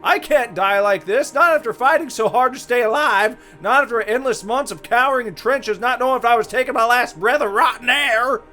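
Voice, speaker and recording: A man voicing the ghost of a brash, crude young soldier, shouty and melodramatic, in a visual novel reading. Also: very high voice, brisk, few pauses, soft background music. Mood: outraged, panicked.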